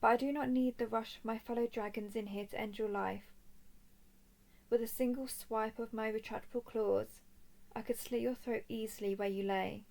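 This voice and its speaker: A woman acts out a young dragon princess in a fantasy audio drama. Her voice sits a little high, with a noticeable creak at the edges. She speaks softly and formally, choosing words with deliberate care, a composed captor full of curiosity. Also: fairly even intonation, poor audio. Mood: solemn, curious. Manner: formal, deliberate, soft-spoken. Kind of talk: scripted roleplay audio drama.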